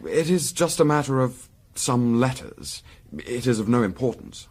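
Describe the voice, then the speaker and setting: An actor playing a shrewd Victorian gentleman investigator in a period radio drama, polite, dry and probing. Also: mid-range voice, lively intonation, normal speed, close to an everyday voice.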